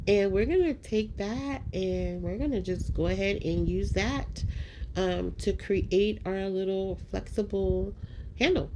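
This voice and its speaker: A woman's voice: medium pitch, darkish tone, slight background noise. Chatty nasal woman crafter. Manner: chatty and self-deprecating, many ums and likes, addresses viewers as y'all and guys. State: nasal from allergies. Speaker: craft vlogger. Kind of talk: casual voice-over narrating a craft project.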